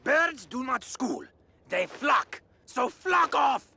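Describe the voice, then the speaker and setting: Talking bird, game sports sidekick, high pitch, animated, sarcastic cartoonish delivery. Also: male voice, slow, creaky, husky, raised voice, exaggerated voice. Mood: indignant. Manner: dry, sardonic, lively. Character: wisecracking, sarcastic talking bird co-commentator, male. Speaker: colour commentator. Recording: music under the voice. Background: music.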